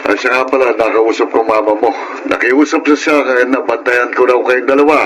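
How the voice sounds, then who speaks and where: A man's voice: medium pitch, steady tone, fairly quick, fairly bright tone, a little hiss or hum, close to an everyday voice, poor audio. A man with a Filipino accent playing an earnest, protective older father-in-law and grandfather in a radio-style melodrama. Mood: concerned, firm. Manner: grave, confiding.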